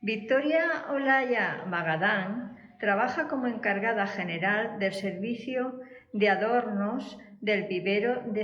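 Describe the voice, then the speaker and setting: A woman, coordinating an interview project and introducing the guest in a formal, read-out tone before asking questions. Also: medium pitch. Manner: reads a formal introduction, brief backchannels. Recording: echoey room, dull sound.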